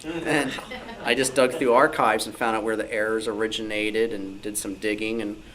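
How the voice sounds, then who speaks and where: A man's voice: on the low side, steady tone, moderate pace, a crowd in the background, low-quality recording. A man giving an informal, self-deprecating, anecdotal talk as a researcher and author at a club meeting. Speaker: old-time radio researcher and author. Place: club meeting room, indoors.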